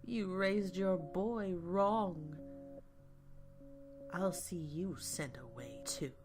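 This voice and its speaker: A woman's voice: lowish voice, drawn-out words, darkish tone, soft background music. A woman playing a bratty, spiteful monstrous aunt in a horror audio story, whining and hissing theatrically. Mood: accusing, spiteful.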